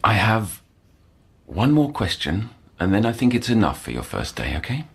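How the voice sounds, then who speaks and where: An actor playing an earnest, brooding middle-aged male doctor in an audio drama, speaking formally and haltingly in erudite language. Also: fairly low pitch, very animated, vocal fry, husky, heavily acted. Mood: gentle, reassuring. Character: earnest, scholarly, brooding male doctor haunted by past failures.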